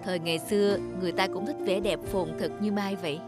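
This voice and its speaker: Cartoon role: discontented family man played by a male actor, earnest, grumbling, music clearly behind. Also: high voice, steady tone, quick pace, lightly acted. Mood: tender, earnest. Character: lonely husband and father, discontented with his wife. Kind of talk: animated cartoon dialogue.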